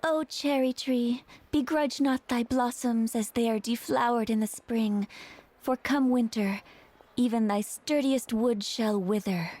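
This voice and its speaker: Self-assured, sly perfume seller for a game, played by a woman: coy, teasing, warm rounded tone. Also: a little high, a little hiss or hum, natural voice. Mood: poetic. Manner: coy, teasing, drawn-out phrasing. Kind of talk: video game character dialogue.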